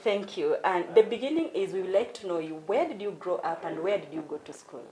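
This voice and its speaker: A woman's voice: fairly low pitch, lively intonation, brisk, crowd noise, low-quality recording. A woman hosting a show and interviewing a guest in a friendly, inviting manner. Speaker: host. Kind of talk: presenting and interviewing.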